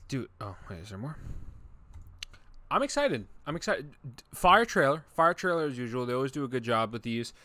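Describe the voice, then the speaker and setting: A young man giving excited, slangy, casual reaction commentary as a video host. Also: mid-range voice, moderate pace, long pauses, no room sound. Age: young adult. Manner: slangy, hyped, exclaiming. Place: small home room, indoors.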